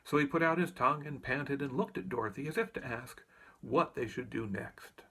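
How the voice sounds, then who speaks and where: A man's voice: mid-range voice, normal speed, bright, forceful tone. A man reading a children's story aloud as narrator. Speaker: audiobook reader.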